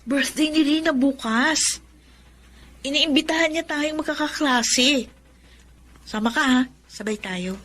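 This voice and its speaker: A loyal young woman in a radio drama. She has a fairly high voice and speaks in a coaxing, reassuring way, like a caring friend. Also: unhurried, long pauses, fairly bright tone.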